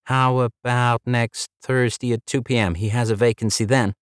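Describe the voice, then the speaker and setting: A man's voice: mid-range voice, fairly even intonation, moderate pace, clean tone, no room sound, clean studio sound, voice pushed up, close to an everyday voice. A man voicing a polite young female office receptionist who is courteous but will not bend. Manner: chirpy, courteous, apologetic but unbending.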